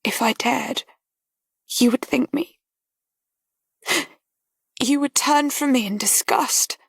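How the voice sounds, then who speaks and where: High-pitched distressed young lady, put on by a woman, trembling, whispery, pleading, dry sound. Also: expressive, measured pace, gravelly, no echo, full character voice. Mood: tearful. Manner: breathless, pleading, breaking into whispers.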